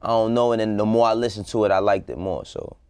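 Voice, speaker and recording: A man's voice: lowish voice, fairly even intonation, quick pace, a little mellow, smooth, no echo. A man co-hosting a casual music discussion, talking in an informal, slangy way and swearing freely. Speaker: talk show co-host.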